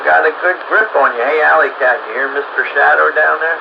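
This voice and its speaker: A man's voice: a little high, steady tone, a little fast, vocal fry. A man with an American accent comes through a CB radio under heavy noise, the sound narrow and lo-fi. He talks casually in folksy operator slang, like someone running the channel. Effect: CB radio transmission. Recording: very noisy, band-limited CB radio signal.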